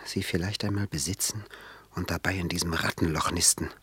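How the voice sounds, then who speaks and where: A proud, poor young man in a radio drama. His low, husky voice is introspective and brooding, full of ambition. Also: steady tone, a little fast, bright, forceful tone, exaggerated voice. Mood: brooding, bitter. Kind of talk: German radio drama acting.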